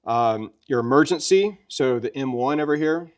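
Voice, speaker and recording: A man with a medium-pitched voice presents a product demonstration. He talks in a casual, folksy way, chatting through each step conversationally. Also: expressive, moderate pace. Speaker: presenter of a gear review.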